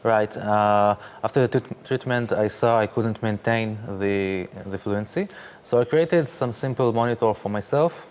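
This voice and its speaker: A man, speaking haltingly with many fillers as a guest in a studio interview. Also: fairly low pitch, clear, resonant tone. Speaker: interview guest, startup founder.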